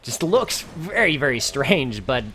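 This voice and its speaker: A man casting a live video game match, energetic and climbing in pitch and volume as the fights heat up. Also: measured pace, slight background noise. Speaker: esports caster. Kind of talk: play-by-play game commentary.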